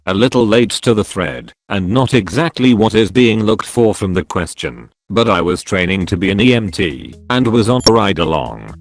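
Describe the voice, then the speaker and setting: A man's voice: fairly low pitch, expressive, moderate pace, few pauses. A man reading first-person written stories aloud as a scripted narrator. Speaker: narrator reading online forum posts.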